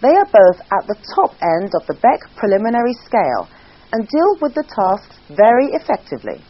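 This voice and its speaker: A woman's voice: mid-range voice, normal speed, breathy edge. A woman giving formal scripted narration that explains an exam. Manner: formal, even delivery. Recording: dull lo-fi voice-over.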